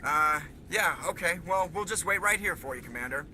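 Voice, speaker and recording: An actor playing an urgent, wry young starship pilot over radio comms in a video game. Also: male voice, high voice, expressive, a little fast. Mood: wry, uneasy. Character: jittery wisecracking young male starship pilot. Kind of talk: dramatic video game acting. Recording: noisy radio transmission.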